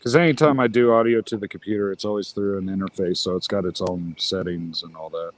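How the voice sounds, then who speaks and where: A man in casual chat, giving quick offhand tech advice. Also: low voice, fairly even intonation.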